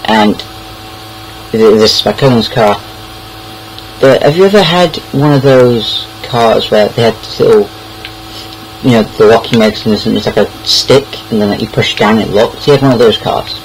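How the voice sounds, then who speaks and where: A man's voice: lowish voice, moderate pace, slight background noise, poor audio. A young British man casually telling anecdotes in a rambling, hesitant way, repeating words as he goes. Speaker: online storyteller.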